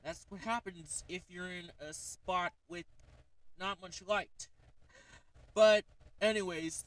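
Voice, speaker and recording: A man's voice: on the high side, fairly bright tone, distant mic, a little hiss or hum, poor audio. A man hosting a casual livestream, rambling with lots of fillers as he shows off a gadget. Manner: rambling, frequent fillers and "alright?" check-ins. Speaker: streamer.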